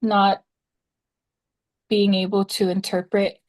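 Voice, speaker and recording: Female podcast guest, mid-pitched voice, hesitant and filler-heavy. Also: level tone, slow pace. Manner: tentative, hesitant with many fillers. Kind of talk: conversational interview.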